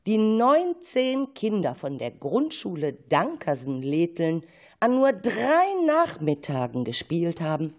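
A woman's voice: low voice, darkish tone, slightly rough. A woman hosting a radio show, speaking expressively and directly to young listeners. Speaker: radio host of a children's radio play show. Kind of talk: radio moderation addressed to listeners.

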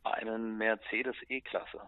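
A man's voice: fairly low pitch, little pitch movement, slow pace, phone-quality sound. A man, a musician guest, answering casually and spontaneously in a laid-back, colloquial way.

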